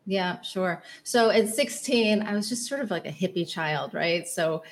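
A woman in her forties tells her own story as an interview guest. She is open and talkative. Her voice sits a little low. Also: lively intonation, unhurried. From North Shore of Chicago. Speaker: health coach guest. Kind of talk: casual interview.

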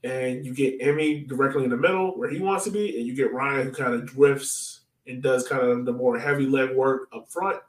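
A man chats about soccer as a podcast host, informal and excitable, full of slang. His voice sits at a middling pitch. The recording is quite echoey, as if he is a bit away from the microphone. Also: normal speed, few pauses. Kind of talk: casual fan chat about soccer. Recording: roomy echo, distant from mic.

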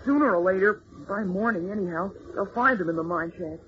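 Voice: medium pitch, brisk, a bit bright, close to an everyday voice. An actor playing a brave, eager twelve-year-old village boy in an old radio serial, earnest and determined, on a muffled noisy recording. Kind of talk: 1940s radio serial acting.

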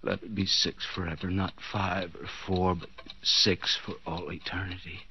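A man's voice: low-pitched, level tone, moderate pace, bright, forceful tone. An actor playing a bound, desperate man coming apart in a cellar, stammering and pleading in overwrought 1940s radio suspense style. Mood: dazed, delirious. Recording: dull, noisy 1940s radio recording.

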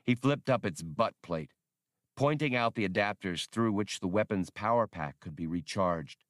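Male narrator for adventure audiobook: lowish register, scripted storytelling read, tight dry studio sound. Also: expressive, normal speed, dry recording.

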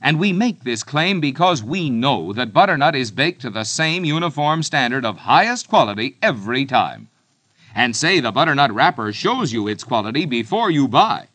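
Man with an earnest radio ad pitch. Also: very animated, moderate pace, fairly bright tone, dry recording. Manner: earnest, emphatic sales pitch. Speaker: commercial announcer. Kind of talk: scripted radio ad read.

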